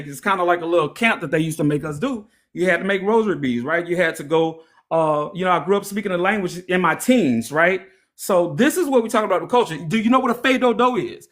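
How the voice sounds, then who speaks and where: A high-voiced young man with a Louisiana accent hosts a heated livestream debate. He lectures at length, then mocks his opponent with repeated taunts. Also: a bit bright. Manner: lecturing, mocking, repetitive taunts. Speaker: live stream host.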